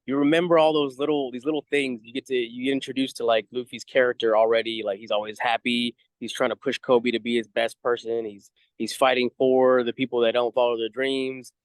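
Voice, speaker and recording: A man with a mid-pitched voice co-hosts a relaxed fan review chat. He explains his points in a halting, rambling way. His voice is recorded very dry, without room sound. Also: dry recording, low-quality recording. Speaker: co-host of a review show.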